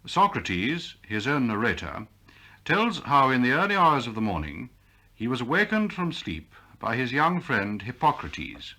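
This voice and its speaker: A man's voice: medium pitch, sing-song, a little slow, sharp tone. A man with a British accent giving a formal scripted introduction as a 1960s radio announcer.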